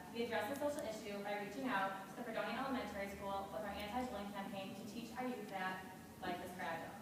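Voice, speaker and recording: A young woman from Buffalo, New York, recites a rehearsed student presentation at a quick clip. She is picked up from a distance in a large, booming auditorium with long reverb and steady background noise. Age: young adult. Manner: brisk, recited delivery. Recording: echoey hall, distant mic, noisy.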